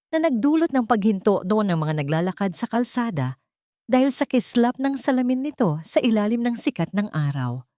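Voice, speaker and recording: A woman narrating a dramatic scripted story in a solemn, emotive, measured manner. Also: on the low side, darkish tone, no echo, studio quality.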